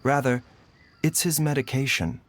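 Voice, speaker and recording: A man's voice: mid-range voice, steady tone, moderate pace, natural voice. A man voicing a composed, courteous game physician who speaks in a formal, articulate, learned way. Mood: calm, explanatory. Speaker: doctor character. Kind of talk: video game voice acting. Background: background music.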